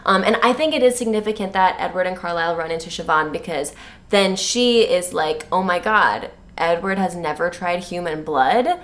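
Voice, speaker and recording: A woman's voice: on the low side, animated, moderate pace. A woman co-hosting a podcast, recapping a book in a jokey, slangy way and acting out lines as she goes. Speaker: podcast co-host. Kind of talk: comedic book recap chat.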